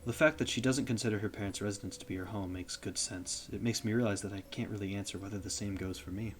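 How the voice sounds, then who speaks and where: A young man with a low voice reads a visual novel aloud on stream. His delivery is steady and even, with the odd small slip as he reads. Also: fast, faint music behind.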